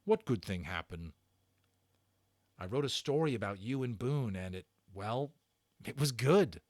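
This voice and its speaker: A man voicing an earnest, gentle young boy in a storybook reading, with plain, sincere, conversational phrasing. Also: mid-range voice, lively intonation, a little slow, dry recording, clean studio sound, close to an everyday voice. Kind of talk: dramatic storybook character reading.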